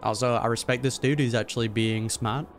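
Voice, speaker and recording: A man's voice: medium pitch, steady tone, a little fast, a little hiss or hum. A man hosting a casual game reaction video, with slangy, wry commentary.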